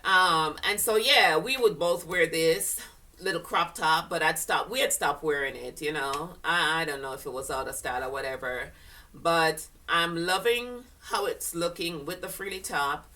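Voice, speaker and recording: A woman's voice: sits low, moderate pace, pushed and bright, recorded from a distance. An older woman with a Jamaican accent, chatting casually to camera with lots of fillers and a slightly stuffy, congested voice. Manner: chatty, rambling, with many fillers and laughs. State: congested sinuses. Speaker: fashion vlogger.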